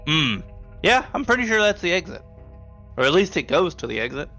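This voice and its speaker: Man as tabletop game bartender from the 1930s: puzzled, helpful, bright tone, faint music behind. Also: sits high, big pitch swings, a little fast, voice pushed up, exaggerated voice.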